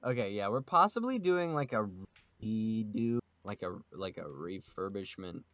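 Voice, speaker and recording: A man's voice: lowish voice, big pitch swings, unhurried, a bit bright, no echo. A man chatting casually as a podcast host, joking, swearing and rambling as he goes. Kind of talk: casual group chat about a manga.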